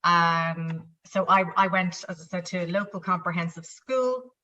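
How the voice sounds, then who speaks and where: Bright-voiced Irish woman, middle-aged. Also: on the low side, normal speed, muffled recording. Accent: Irish, Dublin. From Ireland. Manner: warm, chatty, with many fillers and restarts. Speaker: guest, company chief executive. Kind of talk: casual interview answers.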